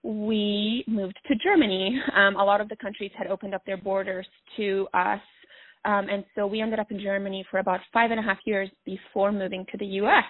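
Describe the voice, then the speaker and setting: A woman's voice: medium pitch, steady tone, fairly quick, no echo. A woman from Bosnia, a podcast guest speaking by phone, talking earnestly and reflectively with many fillers. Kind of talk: phone interview. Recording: dry tinny phone line.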